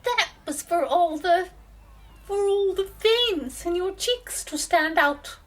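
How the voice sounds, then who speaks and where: A woman's voice: extremely high pitch, expressive, takes it slowly, fairly bright tone, heavily acted. An actress playing a bossy, caustic woman with a theatrical, formal manner, like a soothsayer in a comedy audio drama. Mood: mock-mystical, stern. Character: tart, bossy woman posing as mysterious soothsayer.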